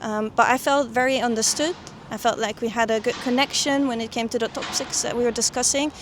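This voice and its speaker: Young lady, kinda high voice, thinking out loud in an interview, some noise behind. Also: steady tone, brisk. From the Netherlands. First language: Dutch. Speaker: guest, coaching client and doctor. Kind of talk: personal testimonial interview.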